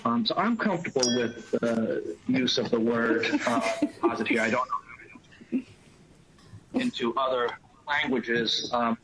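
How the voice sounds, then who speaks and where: A man talks through his view in a calm, methodical way with occasional "um"s. His voice sits in the middle range and comes over a video call that drops out now and then. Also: medium pitch, unhurried, over a noisy crowd, rough recording. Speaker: board member in a meeting. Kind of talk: formal remote meeting discussion. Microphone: video call, cutting out.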